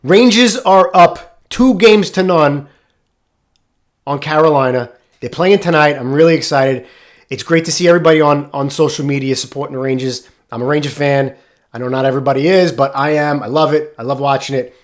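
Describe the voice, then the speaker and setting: A man's voice: a little high, fairly quick. A middle-aged man hosting a casual solo podcast, stuffed up and nasal from a sinus infection, rambling candidly. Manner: rambling, candid, occasional swearing. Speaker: podcast host, firefighter.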